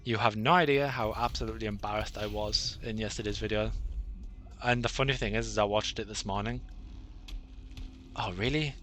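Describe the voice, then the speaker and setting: A man talks over his gameplay in a fairly low voice with a bright, forward edge. His delivery is monotonous, full of fillers and self-deprecating asides. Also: a little fast, a little hiss or hum. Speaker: gaming channel host. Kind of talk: live gameplay commentary.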